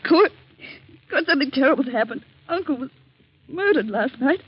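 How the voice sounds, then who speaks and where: Anxious young woman, high pitch, faltering delivery, pleading, vintage radio drama, dull noisy recording. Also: expressive, normal speed. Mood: distraught. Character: anxious young Austrian fiancée, shaken by her uncle's murder. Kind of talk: old-time radio drama acting.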